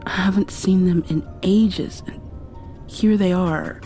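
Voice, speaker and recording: A woman doing an ASMR roleplay, drifting between whispers and soft speech in an affectionate, playfully scolding way. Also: fairly low pitch, slow pace, soft background music.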